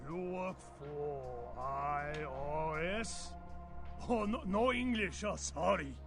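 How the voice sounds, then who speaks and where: A high, crackly Chinese-accented schemer, drawn out and excitable. He is a mocking fortune teller from a video game, speaking broken English over prominent music. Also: slow pace. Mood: indignant, scheming. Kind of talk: video game character acting.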